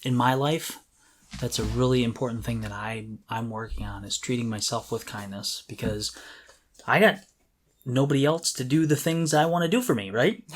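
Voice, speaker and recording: A man co-hosts a conversational podcast in a medium-pitched voice. He talks informally, offering encouragement in an earnest way and leaning on key words for emphasis. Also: moderate pace, a little hiss or hum. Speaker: podcast co-host. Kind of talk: casual conversational advice on creativity.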